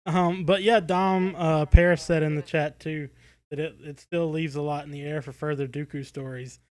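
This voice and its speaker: A man co-hosting a podcast in a casual review chat, thinking aloud in halting phrases peppered with "like". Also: a little high, flat delivery. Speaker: podcast co-host.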